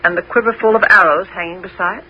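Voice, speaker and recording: A woman playing a proud, clever queen and faithful wife in a 1970s radio drama, with a stately, measured delivery. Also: lowish voice, fairly even intonation, slight background noise, close to an everyday voice. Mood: commanding. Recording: dull 1970s radio broadcast.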